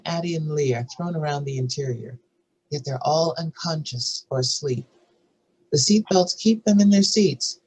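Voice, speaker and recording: Casting: husky-voiced narrator for screenplay stage directions, scripted table-read delivery. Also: mid-range voice, a little mellow, poor audio.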